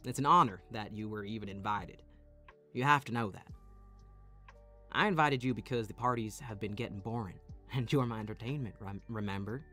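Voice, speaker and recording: A man's voice: fairly low pitch, a bit bright, lightly acted. Man acting a smug, teasing young playboy. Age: young adult. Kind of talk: audio roleplay acting.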